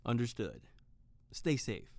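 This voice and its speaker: An actor playing an earnest private detective, narrating and talking in a dry, deliberate noir style for audio drama. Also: male voice, medium pitch, very animated, vocal fry, no room sound, exaggerated voice. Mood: dry, serious.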